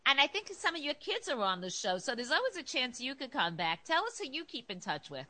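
A woman's voice: high voice, sing-song, brisk, crowd noise. A woman with a New York accent hosting a casual chat, often cutting in to steer the talk. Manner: chatty, cuts in often. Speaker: host.

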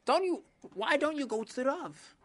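A woman voicing a poor, desperate wife who pleads with her husband in a shrill, exclaiming voice. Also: lively intonation, normal speed, fairly bright tone. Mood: pleading.

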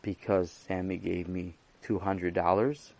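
Man voicing a low, quiet timid schoolboy, halting speech, dry sound. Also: steady tone, creaky, dry recording.

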